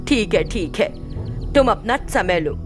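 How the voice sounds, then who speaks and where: A woman's voice: mid-range voice, lively intonation, unhurried, over music, close to an everyday voice. A woman voicing an older mother-in-law in a storybook tale, bossy and exasperated but placable. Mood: pleased, instructing. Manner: scolding, commanding homemaker. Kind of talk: acted dialogue in a Hindi moral story.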